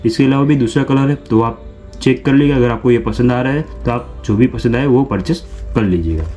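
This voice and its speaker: A man's voice: medium pitch, fairly quick, warm tone, soft background music. A young man hosting his own unboxing channel, walking viewers through a product in a repetitive, explanatory way and calling them friends. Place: small room indoors.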